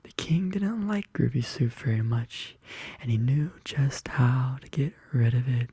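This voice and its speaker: Bedtime-story ASMR narrator: very breathy, soft whisper, dark and mellow tone, gentle deliberate delivery. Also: medium pitch, dry recording. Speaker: ASMR video host. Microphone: close studio condenser microphone.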